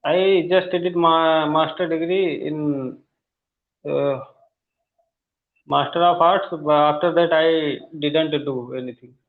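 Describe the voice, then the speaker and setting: An Indian man with an Indian accent practices English over a tinny, phone-like online call. He talks haltingly with many fillers, and his grammar marks him as a non-native speaker. His voice sits a little high for a man. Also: fairly even intonation. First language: not English. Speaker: English learner.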